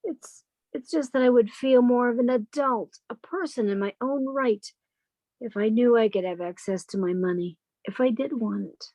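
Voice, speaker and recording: An earnest, theatrical sister from radio drama played by a woman, her voice fairly high and recorded dry. She seems timid, with a cunning streak beneath. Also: moderate pace, dark tone, no echo, low-quality recording. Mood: meek pleading. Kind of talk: old-time radio drama acting.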